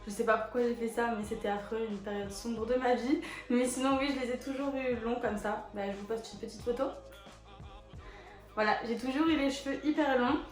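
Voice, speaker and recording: A woman's voice: fairly high pitch, a little fast. Chatty young woman in an echoey room. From France. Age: 20s. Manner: chatty and relaxed, with many fillers like 'du coup' and 'voilà'. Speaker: photo model answering viewer questions. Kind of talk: casual chat, reading out viewer questions. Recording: echoey room with faint background music.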